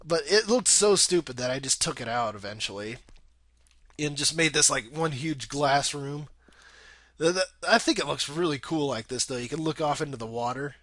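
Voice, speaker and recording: A man's voice: on the high side, normal speed, fairly bright tone, no echo. A young man giving casual, rambling gameplay commentary in an informal way, swearing freely. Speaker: video game let's play host.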